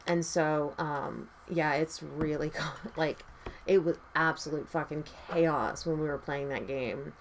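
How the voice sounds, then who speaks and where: A woman with a raspy voice doing her makeup and chatting casually, tired, rambling and swearing freely. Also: sits low, slow pace, background noise. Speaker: makeup vlogger.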